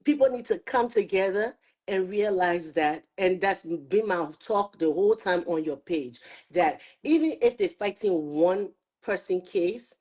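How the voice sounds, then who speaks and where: A woman talking earnestly on a show, arguing her view in rapid bursts. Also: mid-range voice, expressive, a little mellow. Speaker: guest commentator. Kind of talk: opinionated chat.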